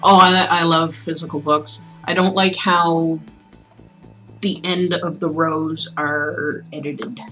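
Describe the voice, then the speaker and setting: A woman's voice: low-pitched, slow, rough recording. Chatty woman streamer over background music. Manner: chatty, expressive, breaks off into asides. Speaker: livestream host reading aloud to viewers. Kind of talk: casual livestream chat with storybook reading aloud. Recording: music playing behind the voice.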